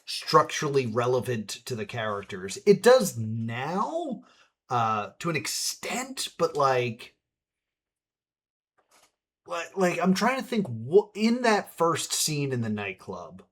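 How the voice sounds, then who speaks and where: A man hosting a stream with casual commentary, halting as he thinks aloud. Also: medium pitch, big pitch swings, fairly bright tone. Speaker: streamer, host.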